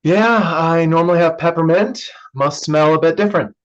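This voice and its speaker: A man's voice: on the high side, normal speed, natural voice. Man playing an evasive young brother, dull recording. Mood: subdued, guarded. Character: evasive young man hiding a drinking habit, a joking brother. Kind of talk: naturalistic play reading. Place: remote online staged reading.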